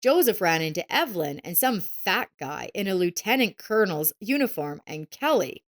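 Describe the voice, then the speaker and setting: A woman's voice: mid-range voice, sing-song, moderate pace. A woman narrator reading a scripted story.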